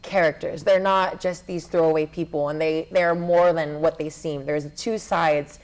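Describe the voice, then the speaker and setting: Lower-voiced young woman in an interview. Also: fairly even intonation, moderate pace, poor audio. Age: young adult. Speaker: student theater director and actor, interview guest. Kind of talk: conversational interview.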